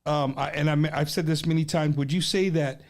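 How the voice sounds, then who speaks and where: A man hosting a casual live stream, his voice notably high for a man. He asks halting questions, restarting and repeating words as he goes. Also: fairly even intonation, creaky. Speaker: show host.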